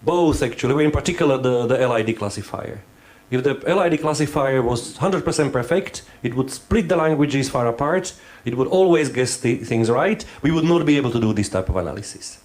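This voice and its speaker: A man's voice: mid-range voice, animated, fairly quick, few pauses, a little mellow. A man who is not a native English speaker, giving a hands-on workshop lecture. He thinks aloud and halts often, restarting his sentences.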